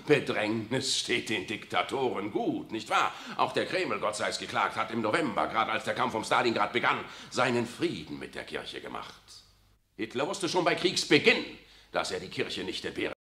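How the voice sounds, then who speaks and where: Worldly old cardinal, ironic, a bright cutting tone, radio play. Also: male voice, on the low side, very animated, moderate pace, roomy sound. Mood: sly. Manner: ironic, confiding, keeps adding "nicht wahr". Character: worldly, cynical older male cardinal. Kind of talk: 1960s radio drama acting.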